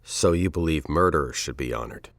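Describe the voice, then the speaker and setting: An actor playing a stern, condescending university dean with a formal, interrogating manner in an audio drama. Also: male voice, a bass voice, a little fast, fairly bright tone, dry recording, studio quality. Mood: cold, suspicious.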